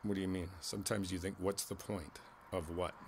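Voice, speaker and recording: Man playing a deep-voiced older neighbor, thoughtful. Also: fairly even intonation, vocal fry, noisy background. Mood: somber, candid. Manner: halting, thoughtful, conversational, repeats phrases. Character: kindly older male neighbor, reflective and candid. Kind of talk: ASMR roleplay, one side of a conversation.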